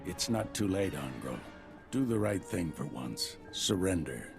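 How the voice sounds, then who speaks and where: An actor playing a grave, steadfast older Jedi master in a video game, speaking in a solemn, measured way. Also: male voice, low-pitched, very animated, gravelly, heavily acted. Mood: solemn resolve. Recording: loud music behind the voice.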